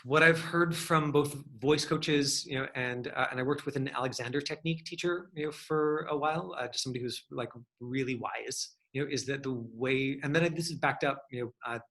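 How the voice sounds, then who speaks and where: A man's voice: mid-range voice, fairly quick, few pauses, fairly bright tone. A man working as an acting coach, teaching and answering questions in a rambling, encouraging, conversational way. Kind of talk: casual online acting lesson with question and answer.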